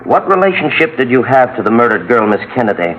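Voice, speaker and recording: An actor playing a stern prosecuting attorney in an old-time radio drama, questioning witnesses in a formal, clipped and insistent way. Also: male voice, mid-range voice, sing-song, fast talker, close to an everyday voice. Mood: formal, probing. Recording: dull, faintly noisy vintage radio recording.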